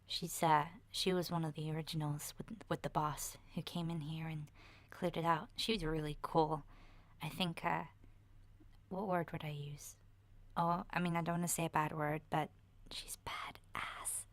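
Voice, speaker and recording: Woman as a soft, breathy low-voiced young girl survivor. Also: flat delivery, moderate pace. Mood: gossipy. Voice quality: soft, breathy, often slipping into whispers. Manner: hesitant, rambling, youthful casual phrasing. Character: chatty, candid young girl survivor in a zombie apocalypse. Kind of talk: soft-spoken ASMR roleplay monologue.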